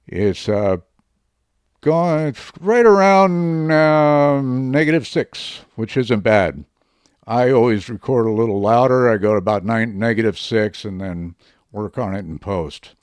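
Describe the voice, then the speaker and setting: A man with a deep voice reviews a microphone in a loose, chatty way, full of fillers. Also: slow, smooth, dry recording, studio quality. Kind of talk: casual product review to camera. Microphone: studio microphone under test.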